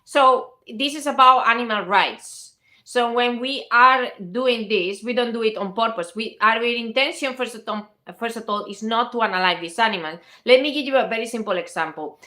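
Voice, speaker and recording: A woman from Spain with a Spanish accent argues her point in a fairly high, bright voice. She is insistent and keeps cutting in on the other speaker, laughing now and then. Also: normal speed. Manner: insistent, interrupting, laughs often. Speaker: vegan activist host. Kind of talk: heated debate.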